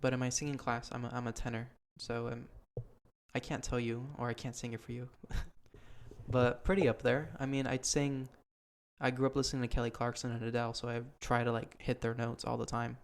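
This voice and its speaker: Young man, podcast host, casual chat, even monotone delivery, mid pitch, earnest and rambling. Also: brisk. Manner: earnest, rambling with many ums.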